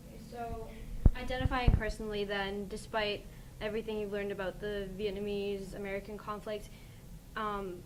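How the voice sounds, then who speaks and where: A woman interviewer asking long, careful questions in a measured way, with occasional "um" fillers. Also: medium pitch, little pitch movement. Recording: noisy recording with ambient hiss.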